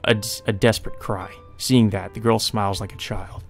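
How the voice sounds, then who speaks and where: A man's voice: lowish voice, fairly even intonation, moderate pace, faint music behind. A man hosting a let's play, reading visual novel narration aloud and adding his own off-the-cuff reactions.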